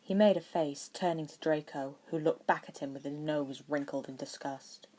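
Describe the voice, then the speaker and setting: Woman narrating an audiobook, lowish voice, reading a story from a script. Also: moderate pace, mellow.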